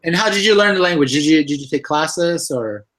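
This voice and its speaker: A man's voice: a little high, animated, fairly quick, fairly bright tone. A man hosting an interview show, asking questions and reacting with eager interjections. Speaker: host. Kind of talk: interview host.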